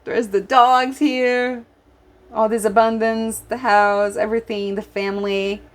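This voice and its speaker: A Brazilian woman with a Brazilian accent, whose first language is Portuguese, giving a tarot reading to viewers in an excitable way, often restarting her sentences. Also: fairly high pitch, unhurried. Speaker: tarot reader.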